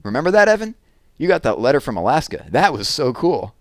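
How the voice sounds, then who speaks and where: A man's voice: medium pitch, very animated, fairly quick, no room sound, studio quality, voice pushed up. A man voicing a young boy who mocks and taunts. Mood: mocking. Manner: mocking, taunting. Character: mean-spirited young boy, mocking.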